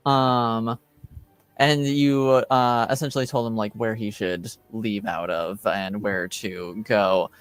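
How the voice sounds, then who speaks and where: A man acting as game master, casually moderating a tabletop roleplaying game in hesitant, pausing phrases. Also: lowish voice, steady tone, clean tone, no echo.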